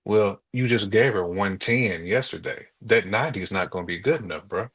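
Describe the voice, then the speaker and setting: American guy on a podcast, kinda low and raspy, chatty, slangy, acts out quotes. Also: fairly quick, a bit bright. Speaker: podcast co-host. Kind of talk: casual podcast conversation.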